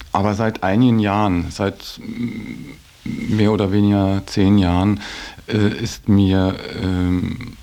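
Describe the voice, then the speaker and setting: A man giving reflective interview answers in a hesitant, halting, thoughtful way. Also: low voice, gravelly, a little mellow.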